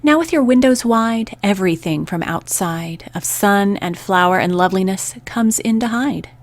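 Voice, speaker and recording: A woman hosting a poetry radio program, reading scripted narration and verse in a measured, deliberate way with pauses between phrases. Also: medium pitch, no room sound.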